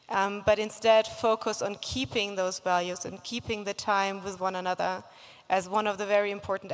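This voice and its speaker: A woman professor speaks on a conference panel in a mid-range voice, measured and thoughtful. A long hall reverb trails her words. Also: mid-range voice. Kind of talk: panel discussion.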